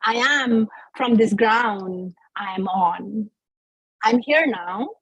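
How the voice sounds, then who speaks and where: A woman from India, a poet, reading her poem and then answering questions in a slow, measured, reflective way. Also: mid-range voice, a bit bright, husky, no echo, highs cut off. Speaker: guest poet. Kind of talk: poetry reading and interview answers.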